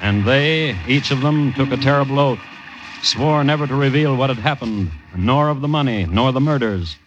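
An actor playing a grave storyteller, narrating an old-time radio sea drama in a measured, dramatic manner. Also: male voice, medium pitch, a little hiss or hum, close to an everyday voice. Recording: dull old radio recording, music behind.

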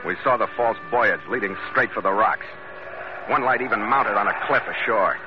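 An actor playing a tough, principled American sea captain who also narrates a radio adventure, in a terse hard-boiled style. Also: male voice, lowish voice, moderate pace, over music, natural voice. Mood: grim narration. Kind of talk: old-time radio adventure drama acting and narration. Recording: noisy, muffled old radio recording.

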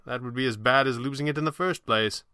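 A man voicing a confident masked gentleman adventurer, wry, earnest and reassuring, in an audiobook story. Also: medium pitch, quick pace, clean tone, no room sound, clean studio sound, natural voice.

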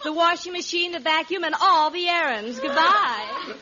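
A woman's voice: very high voice, animated, normal speed, a loud crowd. An actress playing a sweet, coy, teasing young girlfriend in a 1940s radio comedy. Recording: muffled vintage radio recording.

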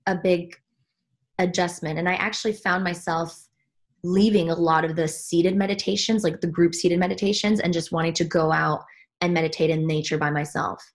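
A woman's voice: on the low side, steady tone, moderate pace. A woman from Switzerland, a spiritual teacher, talking earnestly about her path as a podcast guest, often restarting her sentences as she searches for words. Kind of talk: reflective podcast conversation.

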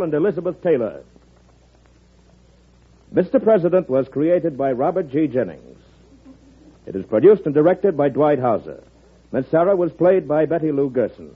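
A man's voice: a little high, breathy edge. An American man formally reading credits as a 1940s radio announcer. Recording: tinny vintage radio broadcast.

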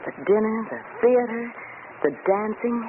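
A woman's voice: on the high side, normal speed, lightly acted. An actress playing an elegant, affectionate society lady in a gushing 1940s radio drama style. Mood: happy, affectionate. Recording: scratchy, tinny 1940s radio recording.

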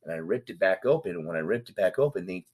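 A man recounting his past in casual street slang, animated and talkative. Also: low voice, fast talker. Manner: street slang, animated retelling with acted quotes. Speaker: guest.

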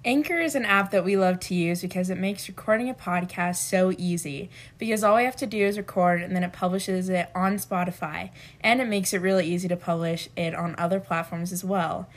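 A young woman co-hosting a podcast, speaking in a relaxed, casual way and sometimes drawing out her words. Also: fairly low pitch. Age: young adult. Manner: relaxed, drawn-out, laughs along. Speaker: podcast co-host. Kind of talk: casual chat.

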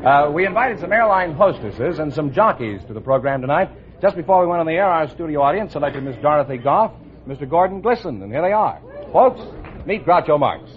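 A man's voice: on the high side, very animated, fairly quick. An American man announcing on a mid-century radio quiz show, introducing guests and reporting winnings as the host's sidekick. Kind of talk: 1940s-50s radio announcing. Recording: dull vintage radio recording, noisy audience.

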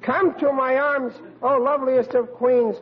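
High-pitched bashful schoolboy. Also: male voice, fairly even intonation, slightly rough, heavily acted. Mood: flustered. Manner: stammering, halting, overblown when reciting. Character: bashful, clumsy schoolboy acting hero in a play. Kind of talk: 1940s radio comedy acting. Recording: dull noisy old radio recording, loud audience.

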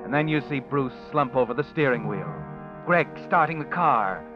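A man narrating a radio drama in the second person, measured and ominous. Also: medium pitch, animated, highs cut off. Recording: old radio recording with music underneath.

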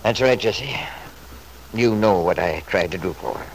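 A man's voice: on the low side, moderate pace, natural voice. An actor playing a stubborn, selfish middle-aged father in an old-time radio soap, blustering and self-justifying in an emphatic way. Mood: confiding, dismissive. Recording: noisy vintage radio drama recording.

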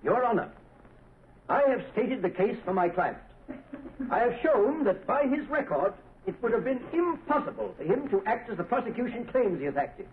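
A man's voice: very high-pitched, phone-quality sound. A man playing an earnest defense attorney, formal and declamatory in 1930s radio style. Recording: thin, noisy 1930s radio recording.

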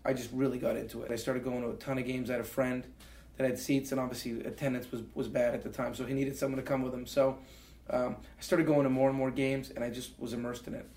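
An earnest man in his forties tells his story with plenty of ums and restarts. His voice sits at an ordinary middle pitch. The room around him rings with a roomy echo. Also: little pitch movement, fast, a little mellow. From Canada. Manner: earnest, many fillers and restarts. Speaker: interview guest. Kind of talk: reflective storytelling.

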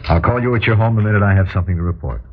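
An actor playing a businesslike, sympathetic private detective, reassuring and matter-of-fact in old-time radio drama style. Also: male voice, lowish voice, rapid-fire, over loud music, natural voice. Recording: dull vintage radio recording.

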